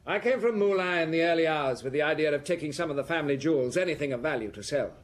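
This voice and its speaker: An actor as a remorseful young count, fairly high-voiced. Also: male voice, animated, fast, lightly acted. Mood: ashamed, confessional. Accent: British English. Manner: formal, confessional, self-reproaching. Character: remorseful young count, aristocratic prodigal son. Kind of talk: British radio drama acting.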